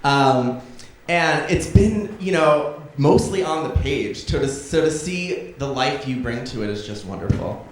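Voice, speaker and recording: A man's voice: medium pitch, expressive, unhurried. A man in his twenties giving an informal, chatty and effusive thank-you speech to an audience from a stage. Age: 20s. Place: theatre stage, in front of an audience. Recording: echoey theatre from far off, audience noise.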